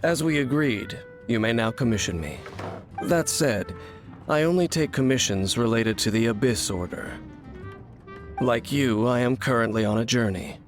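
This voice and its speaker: An actor playing a mysterious, guarded wandering swordsman who speaks in a measured, solemn and formal way. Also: mid-range voice, natural voice. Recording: game audio with soft music.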